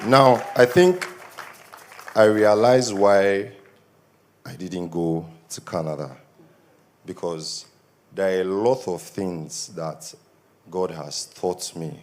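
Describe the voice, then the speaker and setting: A young Nigerian man with a Nigerian accent gives an earnest testimony at a church service, his voice a little worn from shouting. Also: deep voice, slight background noise. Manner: earnest, grows more emphatic. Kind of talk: spoken testimony.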